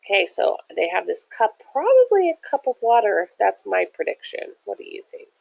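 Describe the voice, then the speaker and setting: Woman, children's read-aloud, teacherly, expressive intonation, slightly gravelly creak, encouraging explanations. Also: medium pitch, moderate pace, rough recording. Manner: encouraging, explains things simply to young listeners. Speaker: teacher reading a picture book aloud. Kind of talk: animated children's read-aloud with chatty asides.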